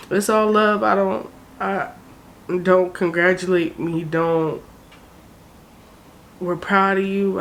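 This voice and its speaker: Young woman, South Jersey accent, lowish voice, tearful, swearing, faint background hum. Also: creaky. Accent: American, South Jersey. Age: 20s. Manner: frank, profane, weary. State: tearful, tired. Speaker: vlogger. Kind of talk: emotional vent to camera.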